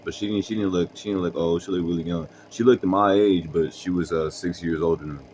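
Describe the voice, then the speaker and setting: Young man, very deep low voice, slangy rambling storyteller, faint background hum. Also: fairly even intonation, brisk, a little mellow. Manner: rambling, slangy, many fillers and restarts. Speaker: vlogger. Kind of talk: casual storytelling.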